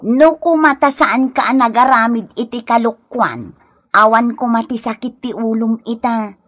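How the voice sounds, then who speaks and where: An actress playing a nagging, scolding young village wife in a radio comedy drama, exclaiming dramatically with an Ilocano accent. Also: female voice, on the high side, measured pace, a bit bright. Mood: scolding, exasperated.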